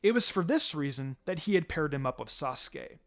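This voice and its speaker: Animated man narrating a story. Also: fairly high pitch, a little fast, vocal fry. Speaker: fan fiction narrator. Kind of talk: dramatic scripted story narration. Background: music.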